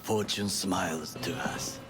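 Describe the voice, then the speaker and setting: Game role: loyal Japanese bodyguard, formal and solemn, Japanese accent, low-set voice, loud music underneath. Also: male voice, steady tone. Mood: measured, watchful. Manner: formal, measured, stiff phrasing. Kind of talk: video game voice acting. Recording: music behind the voice.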